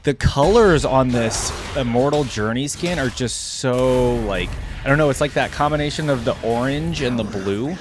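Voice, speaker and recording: A man hosts a reaction video with music clearly audible behind him. His commentary is casual and unscripted, and he often feels out his thoughts aloud at a medium pitch. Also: normal speed, few pauses.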